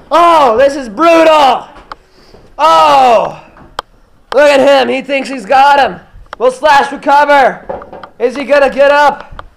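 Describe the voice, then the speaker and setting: A man giving excited, exclamatory mock wrestling commentary as the play-by-play announcer. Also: extremely high pitch, gravelly, low-quality recording.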